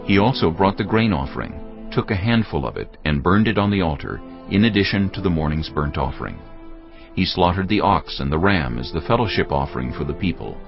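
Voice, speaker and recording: A man narrating scripture dramatically, with solemn, deliberate and emphatic delivery. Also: low voice, animated, lo-fi sound. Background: prominent background music.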